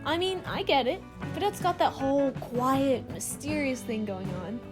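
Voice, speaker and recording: An actress playing a crude, cocky love mentor in an audio drama, with slangy, mock-wise teasing. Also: female voice, sits high, big pitch swings, moderate pace, music in the background.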